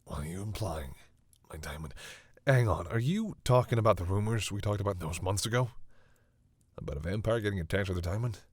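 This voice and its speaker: Man acting a deep-voiced, bashful vampire boyfriend. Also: animated, slightly rough, no echo. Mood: flustered, disbelieving. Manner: halting, stammering, bashful. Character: flustered, protective male vampire smitten with a human. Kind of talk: intimate audio roleplay spoken to the listener.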